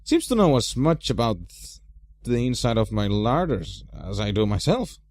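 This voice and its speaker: A man's voice: mid-range voice, sing-song, moderate pace, a little mellow, lightly acted. A man voicing a flustered, grumbling hobbit householder in a storybook, fussy and indignant. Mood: flummoxed.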